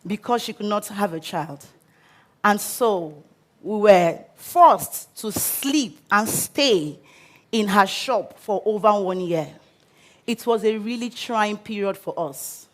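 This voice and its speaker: A woman with a Nigerian English accent tells her life story from a stage in an expressive, dramatic way. Her voice sits a little higher than most women's. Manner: expressive, dramatic, acts out quotes. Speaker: speaker. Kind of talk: inspirational storytelling talk. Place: auditorium stage.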